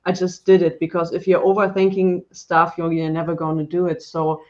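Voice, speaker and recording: A woman's voice: on the low side, little pitch movement, brisk, a little mellow, highs cut off. A woman in her forties speaking as a panel guest on a video call, direct and self-assured. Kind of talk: casual panel chat.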